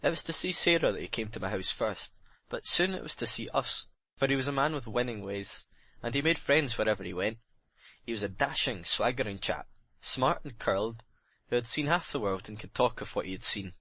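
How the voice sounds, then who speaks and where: A man's voice: medium pitch, expressive, brisk, sharp tone, no room sound. A man playing a bitter, remorseful sailor making a brooding, emphatic confession in a dramatic audiobook reading.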